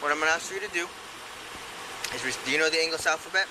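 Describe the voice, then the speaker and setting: A male police officer rattles off firm instructions at speed in a slightly raised voice. The audio is thick with bodycam noise. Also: a little high. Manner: firm, rapid commands.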